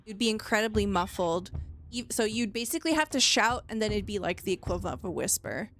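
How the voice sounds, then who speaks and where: A woman narrating a tabletop game as game master, describing scenes in a measured, descriptive way. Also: medium pitch, lively intonation, fairly bright tone.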